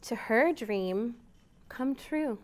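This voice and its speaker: Female storytime presenter for young children: high-pitched, smooth tone, expressive delivery with simple prompts. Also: drawn-out words. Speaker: children's storytime host at a library. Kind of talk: storytime read-aloud and chat to young children.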